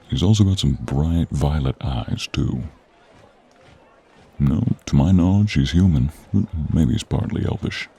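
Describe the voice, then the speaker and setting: Gruff monster hunter played by a man, extremely low husky voice, wry and informal but honourable, for audio roleplay. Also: a little mellow, exaggerated voice. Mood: matter-of-fact. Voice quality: gravelly, husky. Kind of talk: audio roleplay acting, speaking to the listener.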